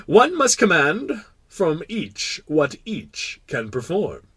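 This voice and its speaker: A man voicing a proud, kindly but pompous king in a storybook, making imperious declarations with drawn-out words. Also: fairly high pitch, huge pitch swings, fairly bright tone, slightly rough, dry recording, pitched above the performer's own voice, exaggerated voice. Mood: lofty, reasoning. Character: proud, kindly, pompous absolute monarch of a tiny planet.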